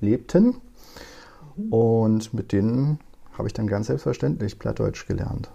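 A man in his forties from northern Germany answers interview questions, thinking aloud as he goes. His voice is low, gravelly and mellow. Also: measured pace, dull audio. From East Frisia, northern Germany. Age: 40s. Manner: relaxed, thoughtful, many fillers. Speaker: guest. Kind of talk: conversational interview.

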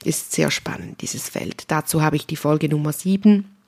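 A woman's voice: low-pitched, moderate pace, creaky, darkish tone. A woman with a Swiss German accent hosting a solo podcast, talking casually and addressing the listener directly in a chatty, informal way. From Switzerland. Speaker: podcast host and spiritual healer.

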